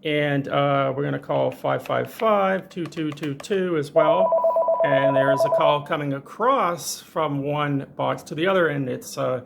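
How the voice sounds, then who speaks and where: Higher-pitched man giving a casual tech demo. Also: unhurried, gravelly, background noise. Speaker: presenter of a tech tutorial. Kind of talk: casual hands-on demonstration.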